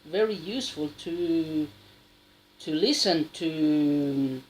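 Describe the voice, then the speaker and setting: Slow, halting man. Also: on the high side, slight background noise, rough recording. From South America. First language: Spanish. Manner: halting, with many restarts and a frequent tag question. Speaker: English learner sharing advice. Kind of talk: casual spoken monologue.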